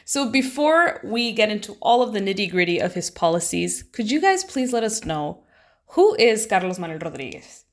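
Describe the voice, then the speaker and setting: A young woman hosts a podcast in a voice of ordinary, middle pitch. She reads her opening from a script and then moves into questions for her guests. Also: sing-song, normal speed. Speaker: podcast host. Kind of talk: scripted podcast intro and interview.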